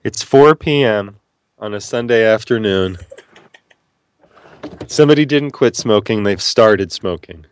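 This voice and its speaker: A man's voice: fairly low pitch, a little slow, pauses often, smooth. A man who is an author, talking as a guest in a casual radio interview, playful and wry, wandering off on tangents.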